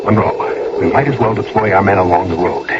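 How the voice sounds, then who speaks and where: A man acting a brisk, forceful army major in a radio drama, with clipped military speech. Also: low voice, close to an everyday voice. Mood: brisk, tense. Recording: muffled, noisy old radio broadcast.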